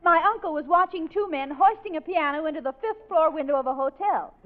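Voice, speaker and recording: A woman playing a sweet, sharp-witted secretary. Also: high voice, a little fast, lightly acted. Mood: sweetly teasing. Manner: polite, sweetly teasing comebacks. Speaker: secretary in a radio comedy sketch. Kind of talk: 1940s radio comedy acting. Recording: tinny old radio broadcast, live audience.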